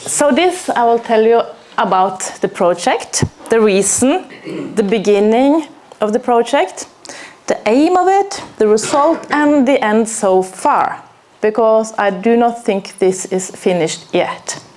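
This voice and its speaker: A woman in her thirties from Norway with a Norwegian accent, teaching arboriculture and presenting to an audience, unhurried, with pauses, filler words and questions to the room. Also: a little high, lively intonation, darkish tone, slight background noise. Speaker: arboriculture teacher giving a talk. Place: small hall with plywood walls and a projector screen. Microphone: far camera, no mic seen.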